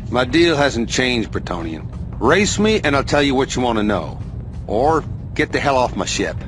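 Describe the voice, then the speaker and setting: An actor playing a sly, smug outlaw racer in a video game, goading with mocking theatrical pauses. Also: male voice, medium pitch, sing-song, natural voice. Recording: music behind the dialogue.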